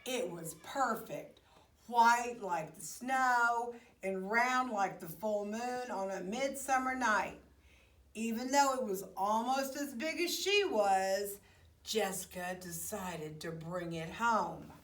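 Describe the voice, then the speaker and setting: Casting: female children's storyteller, expressive and unhurried, picture-book read-aloud, echoey room, off the mic. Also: mid-range voice. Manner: expressive, with hushed asides to the young listeners. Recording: roomy, echoey, distant microphone.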